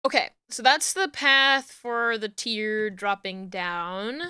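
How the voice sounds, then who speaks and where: A young woman with a New Jersey accent, chatting casually on a live stream while she works, murmuring to herself and then greeting viewers with loud squeals. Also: medium pitch, animated, slow pace, clear, resonant tone, no room sound, clean studio sound. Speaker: VTuber streamer rigging a model.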